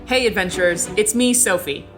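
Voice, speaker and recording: A woman's voice: medium pitch, fairly quick. A woman giving a chatty, lightly wry host intro to an audio drama, over a music bed. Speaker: host and player. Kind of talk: casual show intro.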